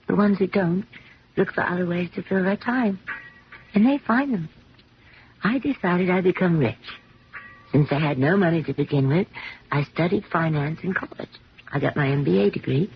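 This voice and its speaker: A woman's voice: lowish voice, close to an everyday voice. An actress playing an earnest, self-doubting young career woman in a radio drama, speaking formally and hesitantly. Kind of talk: 1970s radio drama acting. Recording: dull, noisy 1970s radio broadcast recording.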